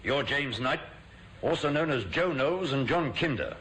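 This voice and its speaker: Firm, official male police officer with a lively pitch, faint hiss on the old broadcast. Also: moderate pace, rough recording. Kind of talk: 1950s radio drama acting.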